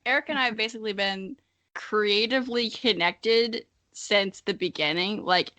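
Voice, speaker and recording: A woman's voice: medium pitch, moderate pace, bright, forceful tone. An American woman in her early twenties hosting a podcast in casual comedic chat, informal and self-deprecating. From Missouri, United States. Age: early 20s. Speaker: podcast host.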